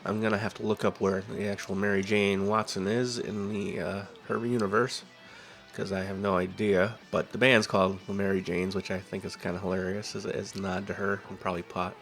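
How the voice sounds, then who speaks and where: A man with a lowish voice talks over his drawing, nasal and stuffed up from a cold. He rambles in a relaxed way through comic book lore, full of "uh"s and asides. Soft music plays faintly under his voice-over. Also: brisk, gravelly. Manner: rambling, easygoing fan storytelling with fillers. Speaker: drawing channel host. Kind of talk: casual talk over a drawing video.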